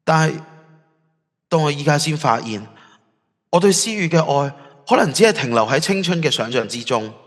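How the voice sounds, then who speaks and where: Actor as conflicted young man, sweeping emotional pitch. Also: expressive, moderate pace, long pauses. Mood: reflective. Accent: Hong Kong Cantonese. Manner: introspective, earnest, emotional. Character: conflicted, remorseful young man torn in love. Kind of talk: audio drama acting. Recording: long hall-like reverb.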